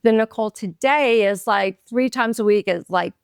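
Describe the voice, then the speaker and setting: Gravelly-voiced woman in her fifties. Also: fairly high pitch, normal speed, no echo, studio quality. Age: 50s. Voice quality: raspy, gravelly voice. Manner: blunt, laughing, self-teasing. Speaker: podcast co-host. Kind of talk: casual, frank chat with swearing. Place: in bed at home.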